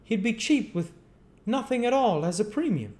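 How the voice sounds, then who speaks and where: A man voicing a smug, callous gentleman in a storybook, with a dismissive, scoffing manner. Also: high voice, very animated, normal speed, voice pushed up. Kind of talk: storybook character voice.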